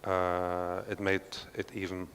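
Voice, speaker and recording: A man's voice: low voice, completely flat delivery, slow pace, a bit bright, clean tone. A man from Belgium speaking on a panel at an art centre, unscripted and hesitant, with many fillers and restarts. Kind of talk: unscripted talk at a panel discussion. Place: large hall of an art centre. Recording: long hall reverb.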